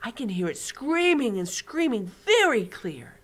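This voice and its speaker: A woman voicing a huge, gentle male giant with mangled grammar while reading a storybook aloud. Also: a little high, big pitch swings, unhurried, slightly rough, pitched above the performer's own voice, exaggerated voice. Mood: earnest. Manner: loud, earnest, mangled grammar.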